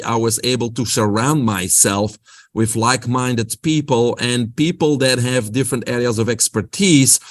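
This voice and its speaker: A man with a Belgian accent, a guest on a podcast interview, explaining his health coaching with an upbeat motivational-speaker delivery. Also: medium pitch.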